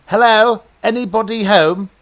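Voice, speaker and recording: A man's voice: high voice, a little slow, fairly bright tone, pitched above the performer's own voice. A man voicing a frightened young man who calls out shakily. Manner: shaky, frightened calling.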